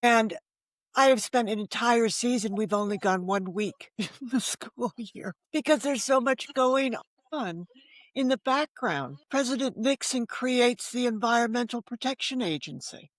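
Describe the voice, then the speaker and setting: A woman's voice: a little high, animated, a little slow, no echo, poor audio. An American woman in her seventies chatting in a casual interview, anecdotal and emphatic in how she talks. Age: 70s. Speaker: podcast guest, novelist.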